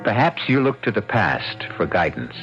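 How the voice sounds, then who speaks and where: A man hosting an old-time radio mystery, narrating from a script in a measured, ominous storytelling manner. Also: lowish voice, big pitch swings, vocal fry, fairly bright tone. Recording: dull old radio recording, music behind.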